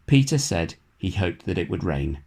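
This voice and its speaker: A man narrating a children's storybook in a measured, expressive read-aloud manner. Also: low-pitched, gravelly, breathy edge. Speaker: storybook reader.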